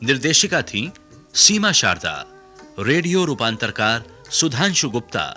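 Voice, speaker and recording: A man reads scripted radio credits in a formal, measured way. His mid-pitched voice is bright and lively in intonation. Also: faint music behind. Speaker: radio drama announcer. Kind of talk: scripted broadcast credits announcement.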